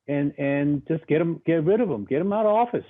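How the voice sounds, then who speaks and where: A man's voice: on the high side, expressive, brisk. A man interviewed as a guest over a remote line, answering emphatically and bluntly, often repeating words as he starts a sentence. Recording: tinny phone-like remote line.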